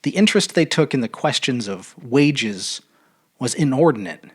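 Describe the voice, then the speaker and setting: A man narrating a literary short story aloud in an expressive, measured, dramatic audiobook style. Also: medium pitch, slightly rough. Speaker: storyteller reading fiction aloud.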